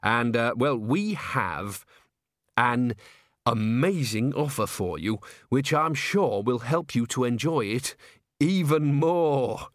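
A man's voice: mid-range voice, animated, slow, dry recording, studio quality. A man voicing a nervous theatre manager who hesitates and stammers with many ums and uhs. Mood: flustered.

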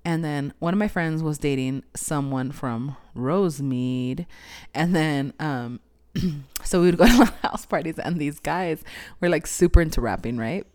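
A woman's voice: low voice, a little slow, darkish tone. A young woman chatting casually as a podcast co-host, rambling, teasing and giggling often. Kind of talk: casual banter.

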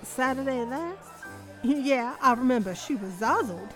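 An actress playing a sly, slangy 1930s speakeasy gal in a noir audio drama, with playful drawn-out speech. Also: female voice, high voice, lively intonation, a bit bright. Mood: gossipy. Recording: music behind the voice.

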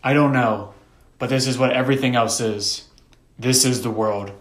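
A man's voice: mid-range voice, steady tone, moderate pace, echoey room, lightly acted. A man voicing an earnest, determined older brother, a boy who talks in a reassuring, insistent way in a story. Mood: explaining, reassuring.

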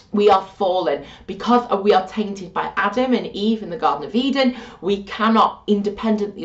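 A woman teaching a religious studies lesson in a chatty, animated way with self-deprecating asides, her voice getting croaky. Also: medium pitch, recorded from a distance. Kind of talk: informal video lesson. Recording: muffled, roomy, from off-mic.

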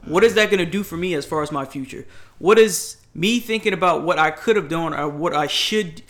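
A man's voice: fairly high pitch, fairly quick, a bit bright. A man, giving blunt advice in a casual chat, emphatic and rambling with restarts. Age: 20s.

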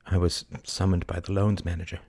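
A man playing a playful, teasing young gentleman with a theatrical, grandiose manner. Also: low voice, little pitch movement, husky. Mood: mock grave. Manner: dramatic, halting stammer and grandiose phrasing.

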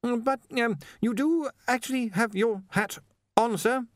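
A man's voice: very high voice, a little slow, no echo, clean studio sound, pitched above the performer's own voice. A man voicing a nervous, deferential academic wizard who stammers and hesitates apologetically, in a storybook reading. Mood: nervous, hesitant. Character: nervous, deferential male academic wizard, junior to his chief.

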